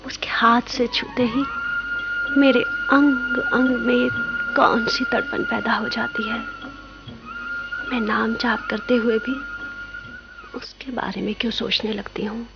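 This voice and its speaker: A woman's voice: high voice, wildly swooping pitch, measured pace, pauses often, muffled recording, full character voice. An actress playing a young, devout monastery woman tormented by forbidden love in a radio play, with dramatic, intimate delivery. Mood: anguished, torn. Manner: dramatic, breathy introspective asides and coy dialogue. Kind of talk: radio play acting with confessional monologues. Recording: radio play with background music.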